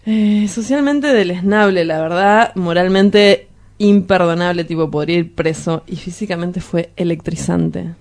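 A low-pitched, colloquial Argentine voice for a radio drama , acted by a woman. The character is a pretentious, sleazy lover who boasts and dodges, hesitating before spinning wordy confessions. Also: measured pace, darkish tone. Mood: boastful, reluctant. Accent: Argentine Rioplatense. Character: sleazy, pretentious, self-styled philosopher lover, evasive and boastful.

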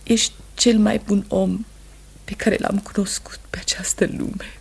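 A grieving but steadfast wife in a radio play. Her voice is husky and breathy with a creaky edge, solemn and intense. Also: medium pitch, flat delivery, whispered. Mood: pleading. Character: sorrowful, steadfast wife of a condemned man. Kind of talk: Romanian radio theatre acting.

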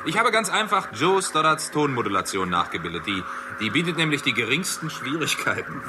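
A man's voice: mid-range voice, sing-song, brisk, lightly acted. An actor playing a practical, wry male radio scientist in a 1960s radio play. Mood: amused. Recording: noisy old radio-play recording.

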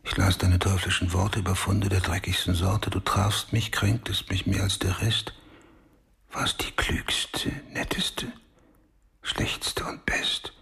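An actor playing a brooding, haunted male police detective in a German radio crime drama, muttering in terse fragments with a husky, gruff voice. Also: low-pitched, level tone, takes it slowly, a bit bright, whispered, heavily acted. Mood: haunted, whispering. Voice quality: husky, gravelly.

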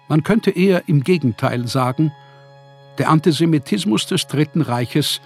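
An actor playing a despairing, bitter exiled writer reading out his letters slowly and wearily in a radio play. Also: mid-range voice, close to an everyday voice. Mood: bitter, insistent. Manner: slow, weary, emphatic delivery of letters. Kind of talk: literary radio play monologue.

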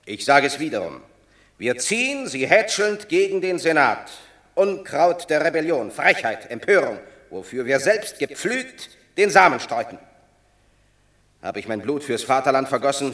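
A proud, scornful Roman war hero. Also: male voice, medium pitch, very animated, moderate pace, fairly bright tone, roomy sound. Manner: scornful, declamatory. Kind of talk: classic stage radio drama.

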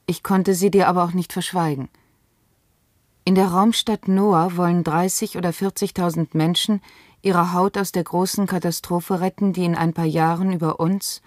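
A woman's voice: fairly low pitch, darkish tone, no room sound, studio quality, lightly acted. An actress playing a grave, despairing woman reciting a letter in a 1970s German sci-fi radio drama.

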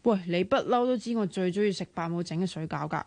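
A woman acting in a radio drama as a playful, bossy young woman who is tight with money, teasing and sharp-tongued in Hong Kong Cantonese. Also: lowish voice, fast, no echo, natural voice. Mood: complaining, fond.

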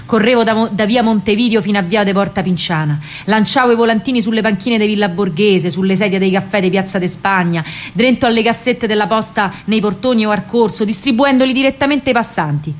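A woman's voice: medium pitch, a little fast, few pauses, close to an everyday voice. An actress playing a spirited young working-class Roman woman, a wartime partisan courier, telling her story in an earthy, vivid dramatic monologue with a Roman accent. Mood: proud, earnest. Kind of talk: dramatic first-person monologue in Roman vernacular.

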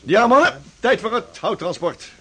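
An actor playing a strict, commanding Dutch ship's skipper in a radio drama, briskly giving orders with authority. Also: male voice, sits high, expressive, a bit bright, slightly rough, heavily acted.

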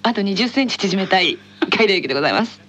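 A woman's voice: mid-range voice, expressive, very fast, fairly bright tone, highs cut off. A woman co-hosting a radio show in casual chat, with playful, teasing banter.

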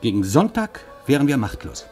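A man's voice: mid-range voice, big pitch swings, moderate pace, a little mellow, faint music behind. An actor playing a wry undercover detective in his thirties who poses as an old professor, speaking in a pointed, formally polite, theatrical way in a German radio play. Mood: grave, warning. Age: 30s.

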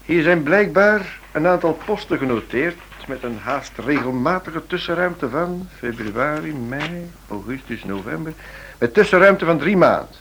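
Keen investigator with lively intonation. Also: male voice, fairly high pitch, a little slow. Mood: intrigued, deliberate. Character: keen, methodical male investigator reporting to superior. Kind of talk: radio play acting. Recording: radio play with faint noise.

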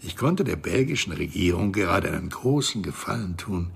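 An actor playing a smug, middle-aged interrogator in a crime radio drama, mock-polite and needling in a husky, gravelly voice. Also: male voice, low voice, animated, moderate pace, exaggerated voice. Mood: smug, condescending. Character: smug, menacing male consultant interrogating his captive.

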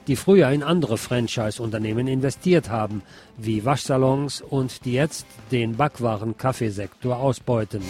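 A man's voice: mid-range voice, darkish tone. Man reading radio news over guitar music. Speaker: radio presenter. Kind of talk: scripted local news commentary read for radio.

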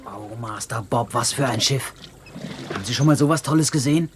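A male actor playing an excitable, wide-eyed young ship's lad in a German radio play. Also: mid-range voice, fairly quick, background noise, lightly acted. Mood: awestruck.

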